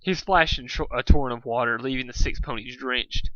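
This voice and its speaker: Bright-voiced man reading a story, lo-fi. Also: medium pitch, brisk, no echo. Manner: stumbles over words and repeats phrases. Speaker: amateur fan fiction reader. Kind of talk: informal storybook narration with asides.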